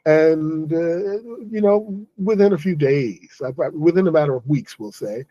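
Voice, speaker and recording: A middle-aged man from New City, speaking to his viewers in a halting, casual monologue and sounding weary after a stressful day. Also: fairly high pitch, dark tone, no room sound, muffled recording. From New York City. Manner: halting, many restarts and self-corrections. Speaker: online English host.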